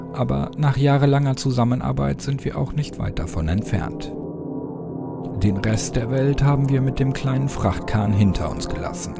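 Man narrating horror over music. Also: medium pitch. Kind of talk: scripted first-person horror story narration. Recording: music behind the voice.

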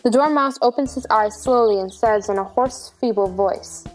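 A woman's voice: a little high, normal speed. A woman reading stage directions as the narrator, in scripted narration.

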